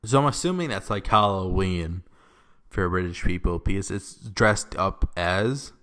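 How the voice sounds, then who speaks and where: A young man with a Midwestern accent works through viewer questions in a relaxed, rambling vlog. He talks slowly in a somewhat low voice, leaning on ums and likes. The room around him is echoey. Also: clean tone. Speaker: YouTuber. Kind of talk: casual Q&A vlog.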